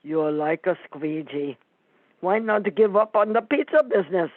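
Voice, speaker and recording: Woman acting as neurotic psychiatrist, pompous, unhurried clinical delivery, dry thin phone-like sound, radio comedy. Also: on the low side, animated, no room sound. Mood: pompous, analytical. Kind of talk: comic radio play acting.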